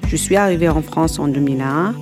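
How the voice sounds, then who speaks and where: A woman's voice: low voice, fairly quick. Moroccan-accented woman over prominent music. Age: 50s. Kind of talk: self-introduction.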